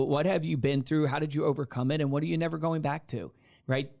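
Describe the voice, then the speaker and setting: A man's voice: medium pitch, a little mellow. A man co-hosting a business podcast, speaking in an enthusiastic, motivational way. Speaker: podcast co-host. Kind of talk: podcast interview.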